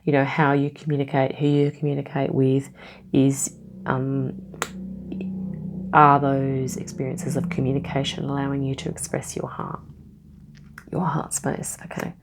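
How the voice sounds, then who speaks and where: Very low, gravelly woman reading tarot. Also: completely flat delivery, unhurried, long pauses, a little hiss or hum, low-quality recording. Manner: meandering, many ums and okays, gentle reassuring tone. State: a bit nasal from a cold. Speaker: tarot reader. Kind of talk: casual spoken tarot reading.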